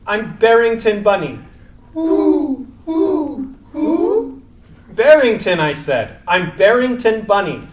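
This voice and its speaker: An actor playing a small, naive baby rabbit who is lonely, hopeful and politely earnest. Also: medium pitch. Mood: earnest, insistent. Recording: echoey room.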